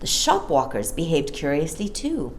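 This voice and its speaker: A woman narrating a children's story as a storytime reader, in an expressive, theatrical way. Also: low voice, normal speed, a little hiss or hum. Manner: animated, theatrical.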